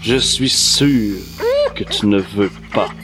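An actor playing a demonic mad professor who gloats and cackles, in a children's radio drama. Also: male voice, on the low side, slow. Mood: gloating. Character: demonic mad male professor, gleeful villain. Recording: long hall reverb with music.

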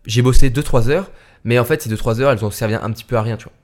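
A man's voice: mid-range voice, fast talker, darkish tone. A young man hosting his student podcast, talking casually and tiredly late at night, with lots of hesitations and restarts. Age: young adult. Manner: hesitant, many fillers and restarts. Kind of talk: casual solo podcast talk.

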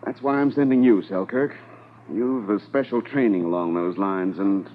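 An actor playing a commanding Mountie officer in a 1950s radio drama, speaking in a measured, authoritative way. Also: male voice, fairly low pitch, a little hiss or hum, natural voice. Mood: brisk, businesslike. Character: commanding male Mountie detachment officer narrating a case. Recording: tinny 1950s radio transcription.

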